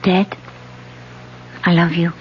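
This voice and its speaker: An actress playing a conflicted young woman politician in a 1970s radio drama, speaking softly and earnestly. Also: fairly low pitch, flat delivery, slow, slight background noise. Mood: anxious. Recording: dull lo-fi 1970s radio recording.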